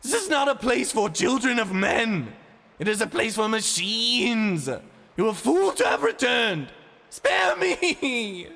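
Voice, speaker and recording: A hoarse, withered old man, as a narrator puts him on, pleading in a raspy, gravelly voice that cracks into shrill shrieks. He swings between high and low, fanatical and broken. He is a storybook figure kneeling before his punishment. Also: very animated, unhurried, a bit bright, long reverb tail, exaggerated voice. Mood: shrieking. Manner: pleading, shrill when frightened.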